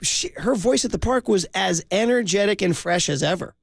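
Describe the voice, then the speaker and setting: A man hosting a call-in sports radio show, energetic and joking with callers from a studio microphone. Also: high voice, creaky, dry recording. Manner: energetic, quick, jokey.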